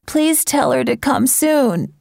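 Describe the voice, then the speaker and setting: A woman's voice: high voice, sing-song, takes it slowly, creaky, a little mellow, no room sound, studio quality, full character voice. An actress playing a feverish young woman in an audiobook, pleading desperately and plaintively. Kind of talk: dramatised audiobook dialogue.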